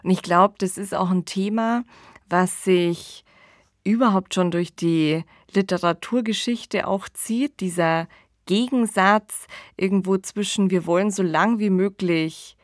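A woman's voice: medium pitch, a little slow, no room sound, clean studio sound. A woman hosting a podcast, talking in a conversational, discursive style. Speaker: podcast host.

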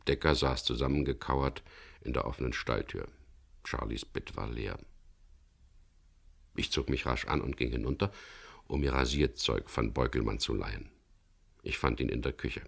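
An actor playing a gruff, wary skipper who tells his story tersely in a radio crime drama. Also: rumbling low, normal speed, long pauses, exaggerated voice. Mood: calm, matter-of-fact. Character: wary, gruff boat skipper, man, narrating his adventure.

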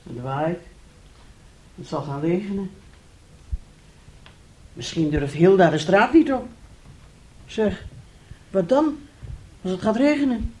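An actress in a radio drama playing a bitter, middle-aged wife who nags with reproach and theatrical sarcasm. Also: female voice, fairly low pitch, expressive, slow, pauses often, warm tone, rough recording. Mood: weary, tense. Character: bitter, nagging, mocking wife of a failed composer.

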